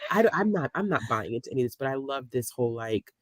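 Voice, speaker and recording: A woman's voice: very deep voice, lively intonation, fast talker, no room sound, clean studio sound. A woman chatting casually about books on an online call, emphatic and sassy, with playful storytelling. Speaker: book discussion co-host. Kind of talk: casual book chat. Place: live online video call.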